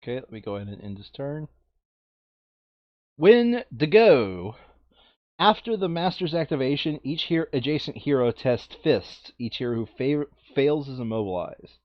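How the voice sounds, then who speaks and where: A man's voice: mid-range voice, expressive, moderate pace. Guy playing a board game, jokey casual chat, kinda muffled lo-fi sound, no echo. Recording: dull lo-fi sound.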